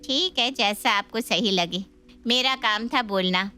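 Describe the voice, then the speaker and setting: A woman's voice: on the high side, a little slow, bright, forceful tone, clear, resonant tone, soft background music. A woman voicing a meddling, traditional middle-aged aunt in a family story, pointed and insistent.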